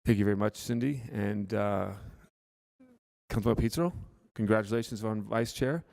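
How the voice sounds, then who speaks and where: A man chairing a city council meeting, running votes and nominations in a measured, procedural way. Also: lowish voice, gravelly, a little mellow.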